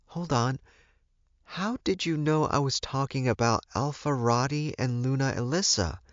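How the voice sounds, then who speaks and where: Calm older she-wolf mother played by a male reader, pitched up, measured and firm, audiobook, slightly dull sound. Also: dry recording, natural voice. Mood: calm, attentive. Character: werewolf mother, older woman, calm, firm, counseling her son. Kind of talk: audiobook character dialogue.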